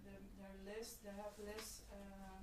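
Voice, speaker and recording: A woman from Chile speaking up from the audience, halting and restarting as she searches for words. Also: medium pitch, completely flat delivery. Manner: hesitant, halting, many restarts. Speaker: audience member asking a question. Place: meeting room. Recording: echoey room from far off, noisy.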